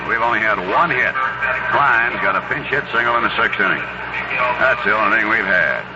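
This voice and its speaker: A man calling baseball play-by-play on the radio in a conversational way, with clipped phrases and pauses. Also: on the low side, animated, loud music behind the voice. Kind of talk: live radio baseball commentary. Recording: noisy dull 1970s AM radio broadcast.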